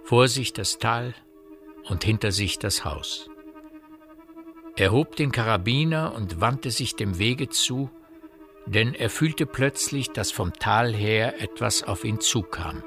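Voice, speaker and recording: A man's voice: lowish voice, lively intonation, slow pace, a bit bright. A man reading literary scripted narration as the narrator of a radio drama. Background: music.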